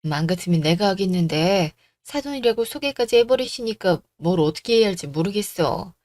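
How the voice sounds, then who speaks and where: A woman plays a gentle middle-aged mother in a radio drama. She speaks hesitantly and caringly, in a close, dry recording. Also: mid-range voice, lively intonation, a little fast, no room sound, voice pushed down, natural voice. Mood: uneasy. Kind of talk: Korean radio story drama.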